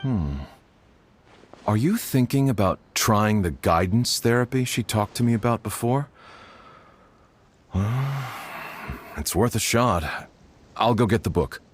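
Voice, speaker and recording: An actor playing a solemn household doctor in a video game, speaking in a formal, measured way. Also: male voice, on the low side, lively intonation, a little hiss or hum. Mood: measured, considering. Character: grave, measured male household doctor bearing sad news.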